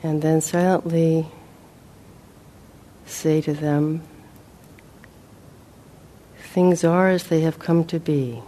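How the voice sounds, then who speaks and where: A woman leading a guided meditation as a teacher, speaking gently and in a measured way with long pauses. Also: deep voice, steady tone.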